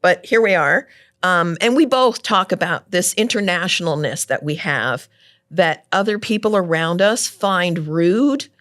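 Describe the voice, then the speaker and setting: A middle-aged woman talking as a guest in a casual interview, loud and animated, laughing often. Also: on the low side, a little slow.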